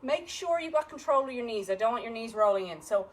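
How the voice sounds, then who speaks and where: Irish lady fitness coach, bit high voice, upbeat cues and rep counts, faint hiss behind. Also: animated, fast talker. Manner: encouraging, counting reps and cueing movements. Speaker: fitness instructor. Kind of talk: live online exercise class instruction.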